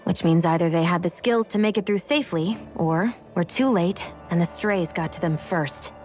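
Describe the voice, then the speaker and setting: A woman's voice: on the low side, normal speed, darkish tone, faint music behind, close to an everyday voice. A woman playing an aloof, blunt young warrior in a video game, with a curt, sardonic manner.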